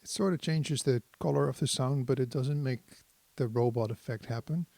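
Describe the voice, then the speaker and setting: A man giving a casual hands-on tutorial as a synthesizer demonstrator, thinking aloud in an unhurried, explanatory way. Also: medium pitch, vocal fry, darkish tone, no echo, soft background music.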